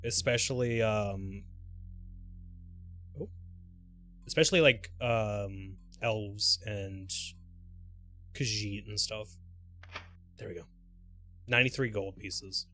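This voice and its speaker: A man livestreaming a video game, chatting casually and thinking aloud in a halting, mildly sweary way. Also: lowish voice, bright, forceful tone. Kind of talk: casual gameplay commentary and rambling chat. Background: music.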